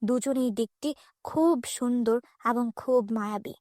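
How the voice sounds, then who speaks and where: A woman's voice: on the high side, unhurried, darkish tone, no echo, studio quality. A woman narrating a scripted fairy tale for children.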